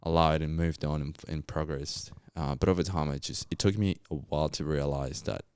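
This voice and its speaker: A man's voice: very deep voice, fairly even intonation, moderate pace, darkish tone, clean tone, dry recording, clean studio sound. A young man from Colombia with a Colombian Spanish accent, a podcast guest telling his story in a reflective, casual way with lots of fillers. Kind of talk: casual interview chat.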